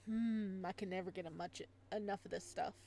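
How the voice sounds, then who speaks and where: A woman reading the visual novel lines of an easygoing, teasing young man in a casual, low-key way. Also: steady tone, brisk, mellow, a little hiss or hum, close to an everyday voice. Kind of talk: visual novel dialogue read aloud.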